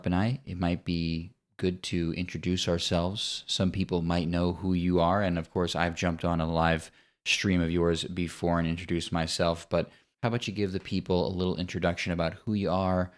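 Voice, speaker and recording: A very deep-voiced man from the United States co-hosts a casual podcast. He talks in a measured, articulate way with a dry sense of humor. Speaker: podcast co-host.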